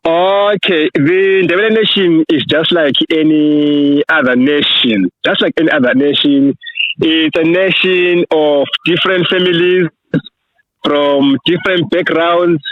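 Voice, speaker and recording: A man with a Zimbabwean accent, an author answering interview questions in a halting way, with many fillers and restarts. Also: on the high side, no room sound. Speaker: author and researcher, podcast guest. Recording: tinny phone line.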